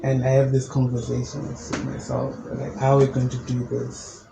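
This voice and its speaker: A young man with a low, gravelly voice speaks in an even, flat tone. He answers calmly and at length, as a musician being interviewed. The room echoes, and a steady noise sits behind him. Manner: calm, measured, explains at length. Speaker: musician guest.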